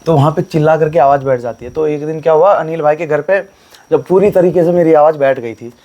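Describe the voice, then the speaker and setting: A man with a Garhwali accent, a folk singer being interviewed, talking earnestly with a hoarse voice. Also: on the high side, a little fast, mellow, lo-fi sound. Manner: plain, earnest storytelling. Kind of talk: casual interview and folk singing.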